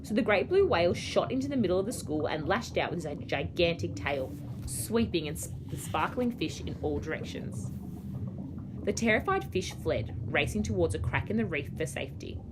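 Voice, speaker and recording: Australian woman, slightly low pitch, very lively intonation, children's storybook narration, teacher reading aloud, clear background noise. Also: moderate pace. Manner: expressive, storytelling.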